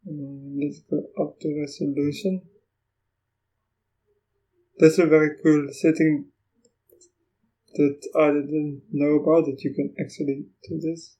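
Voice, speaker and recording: A man whose first language is Afrikaans gives a casual walkthrough of software while recording his screen, thinking aloud in halting phrases. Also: a little high, little pitch movement, highs cut off. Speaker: Linux software reviewer.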